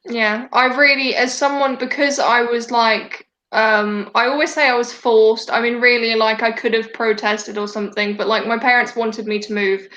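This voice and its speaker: A woman's voice: fairly high pitch, steady tone, moderate pace, hardly any pauses, pushed and bright, dull audio. Chatty young woman host, echoey room. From Denmark. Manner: chatty, many fillers and restarts. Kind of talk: casual video call chat. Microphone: video call.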